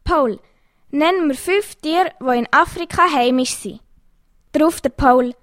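A woman reading riddles and jokes aloud in an even, matter-of-fact way. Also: high-pitched, a little slow, no echo, clean studio sound.

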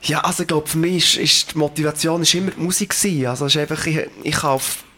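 A young man in his late twenties speaks with a Swiss German accent, his voice sitting a little higher than most men's. He answers thoughtfully and earnestly, pausing on fillers as he works through his ideas. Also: steady tone, pushed and bright, a little hiss or hum. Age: 20s. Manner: reflective, earnest, many fillers. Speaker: singer. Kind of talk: interview answers.

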